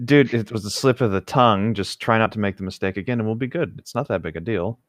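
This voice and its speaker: A man's voice: lowish voice, fast talker, dry recording, studio quality. A man co-hosting a podcast, chatting casually and reading passages of a novel aloud. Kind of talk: casual banter and read-aloud.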